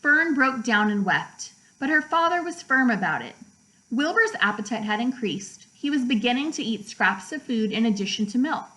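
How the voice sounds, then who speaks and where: A woman narrating a children's book aloud like a teacher, with measured, deliberate phrasing for young listeners. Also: fairly high pitch, lively intonation, sharp tone, poor audio. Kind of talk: storybook read-aloud narration.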